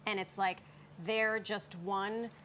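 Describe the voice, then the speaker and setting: Brief: female co-host, mid-pitched, chatty, faint studio hum. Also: flat delivery, slow, fairly bright tone. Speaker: TV show co-host. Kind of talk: TV talk-show chat.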